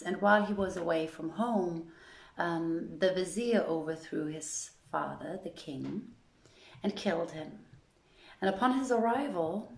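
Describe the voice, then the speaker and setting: A woman reading a story aloud in a measured, deliberate storytelling manner, with an informal live feel. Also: on the low side, creaky, darkish tone, roomy sound, poor audio. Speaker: reader in a theatre project's online reading series.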